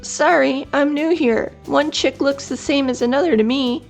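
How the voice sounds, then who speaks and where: A woman's voice: high voice, normal speed, gravelly, faint music behind, pitched above the performer's own voice. A woman doing an offhand barnyard goat. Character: new adult male goat, unhelpful and plain.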